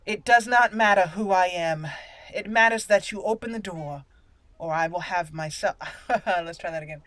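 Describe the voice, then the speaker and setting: A woman's voice: lowish voice, moderate pace, fairly bright tone, no room sound, poor audio. A woman doing casual, amused let's play commentary, slipping into in-character roleplay and talking to herself as she plays. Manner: playful, amused, talks to self. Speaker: gaming channel host.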